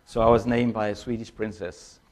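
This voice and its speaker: An older man with a Danish accent, a guest speaker telling his testimony in a conversational sermon and slowing down to read scripture. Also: fairly low pitch, steady tone, darkish tone. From born in China to Danish parents, raised in Tanzania.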